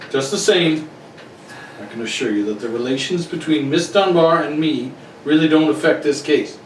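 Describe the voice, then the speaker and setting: A man's voice: on the high side, moderate pace, close to an everyday voice. A man playing a proud, defensive wealthy husband with a blunt, formal manner in a radio play. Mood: guarded. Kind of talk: amateur radio-play acting. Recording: roomy, distant recording with faint noise.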